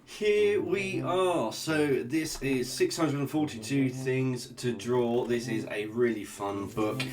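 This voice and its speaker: A man's voice: medium pitch, slow, a crowd in the background. A man hosting a casual drawing vlog, chatty and jokey as he sketches and talks. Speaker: art channel host. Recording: guitar music playing underneath. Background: guitar music.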